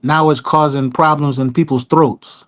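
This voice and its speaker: A man co-hosting a comedy podcast, chiming in with quick punchlines in casual chat. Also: a little high, steady tone. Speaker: podcast co-host.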